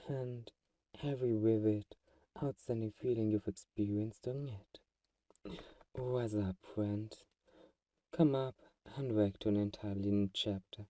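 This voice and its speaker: Male actor as manipulative vampire friend, very mellow dark tone, unhurried, tender, soothing, hypnotic, ASMR roleplay. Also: fairly low pitch, dry recording, distant mic. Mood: hypnotic, commanding. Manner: slow, soothing, coaxing, intimate endearments.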